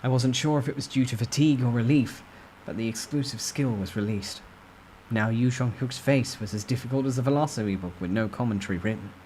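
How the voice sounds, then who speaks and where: A man's voice: medium pitch, a little fast, darkish tone, a little hiss or hum, rough recording. A man narrating a novel as a dramatic, theatrical reading. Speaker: storyteller.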